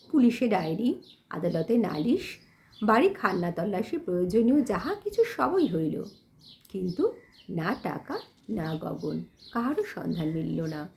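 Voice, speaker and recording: A woman's voice: mid-range voice, a little mellow. A woman narrating a literary audio story expressively, in formal diction.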